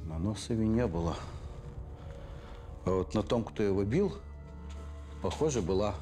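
A shrewd, dry-witted investigator. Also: male voice, on the low side, lively intonation, dark tone. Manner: measured, dry, ironic questioning. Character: shrewd, methodical male investigator, calm and probing. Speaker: prosecutor's investigator. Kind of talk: documentary crime reenactment. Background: background music.

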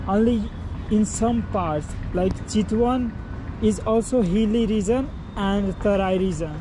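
A man's voice: sits high, a little slow. A Nepali man with a Nepali accent, a tour guide hosting a travel vlog in an outdoor garden café and telling viewers what he is doing. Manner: upbeat, tells viewers what the group is doing. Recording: outdoor street noise behind.

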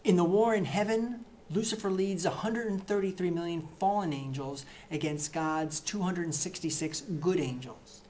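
A middle-aged man reading satirical excerpts to camera in a deadpan, mock-earnest way, in a plain indoor room. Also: sits high, normal speed, hardly any pauses, a little hiss or hum. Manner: mock-earnest, punchy, with gestures. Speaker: reader of satirical book excerpts.

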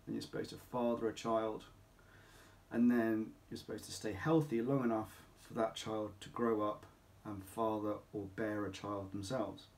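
A middle-aged man speaking as a clinic doctor, explaining to camera in an emphatic, deliberate way with rhetorical questions. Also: fairly low pitch, level tone, darkish tone. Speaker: doctor at a men's health clinic. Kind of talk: informal explainer talk to camera. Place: small home office or consulting room, indoors.

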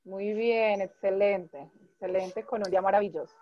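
A woman's voice: medium pitch, steady tone, normal speed, highs cut off. A young adult woman hosting an interview from an outdoor porch over a video call, upbeat and encouraging. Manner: upbeat, encouraging, laughs easily. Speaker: interviewer, host.

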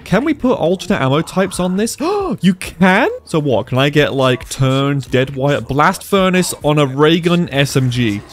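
Excitable man, stuffy with a cold. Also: fairly high pitch, measured pace, few pauses. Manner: excitable, reacts aloud to the game. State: congested from a cold. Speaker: gaming YouTuber. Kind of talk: casual gameplay commentary.

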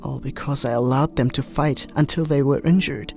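A man's voice: mid-range voice, fairly even intonation, moderate pace, gravelly, darkish tone, soft background music, natural voice. A man voicing a guilt-ridden young male trainer, downcast and self-blaming, in dramatic anime style. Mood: dejected, guilty.

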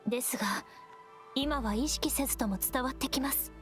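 Woman acting as gentle serious anime scout girl, high voice, polite and careful, music playing behind. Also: natural voice. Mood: grave. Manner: polite, measured, softly earnest. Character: gentle, earnest young woman scout, solemn and perceptive. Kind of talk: Japanese anime game voice acting.

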